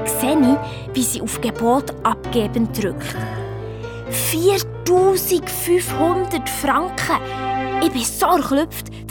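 A woman playing an anxious, excitable young girl detective with a Swiss German accent, telling her adventure in a children's radio play. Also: high-pitched. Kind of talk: first-person children's radio play narration. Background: music.

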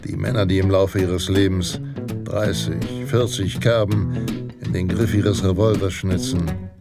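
Radio-play Western narrator: deepish, brooding, contemptuous, dramatic delivery over prominent guitar. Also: male voice, fairly even intonation, a little slow, vocal fry. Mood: scornful. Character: brooding, scornful Western narrator of an aging gunslinger's fantasy. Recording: voice over loud guitar music.

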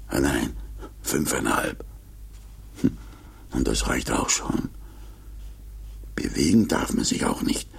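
An actor in a 1950s German radio play, playing an anxious, grumbling man who talks to himself with nervous self-reassurance in a gravelly, breathy voice. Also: rumbling low, full character voice. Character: anxious, grumbling man alone in a rickety lift.

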